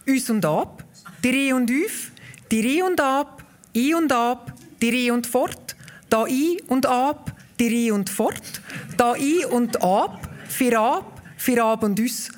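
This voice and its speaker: Swiss-German Walser woman explaining dialect. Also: a little high. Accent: Swiss German, Walser dialect of Obersaxen. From Obersaxen, Graubünden, Switzerland. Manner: explains patiently, reels off dialect words in quick lists. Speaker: guest, dialect association president. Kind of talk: radio dialect conversation.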